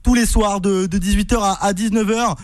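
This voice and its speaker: A man hosting a radio sports show, taking callers and joking, quick and a little stumbling. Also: sits high, fairly even intonation, no echo. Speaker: radio host.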